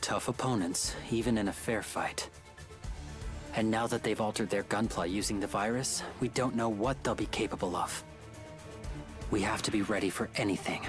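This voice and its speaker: A man voicing a serious, steady team leader in an anime-style game, earnest and resolute. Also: on the low side, normal speed, lightly acted. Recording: game music behind.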